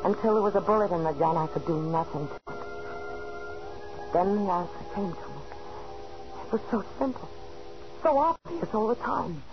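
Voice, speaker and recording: An actress playing a frightened, scheming wife who narrates anxiously in the dramatic style of a 1940s radio thriller. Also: female voice, medium pitch, animated, moderate pace, pauses often, natural voice. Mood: tense, brooding. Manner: anxious, dramatic first-person delivery. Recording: muffled old 1940s radio recording, organ music.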